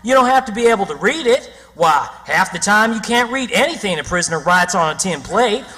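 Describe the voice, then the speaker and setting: A cocky boy schemer from a radio drama , acted by a man, with a rural Southern American drawl. The voice is high and bright, and he lays down his plans emphatically. Long reverb surrounds him. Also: animated, brisk. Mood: know-it-all. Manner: emphatic, know-it-all explaining. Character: cocky, scheming boy adventurer, bossy and stubborn. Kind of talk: radio theatre acting. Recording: long reverberant hall sound.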